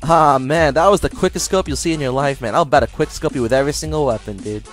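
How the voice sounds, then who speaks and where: A young man trolling an online opponent over a headset, with sarcastic, deadpan mockery and sudden laughter. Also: on the high side, fast talker, faint music behind. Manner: mocking, sarcastic, deadpan jokes, bursts of laughter. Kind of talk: taunting party chat over gameplay.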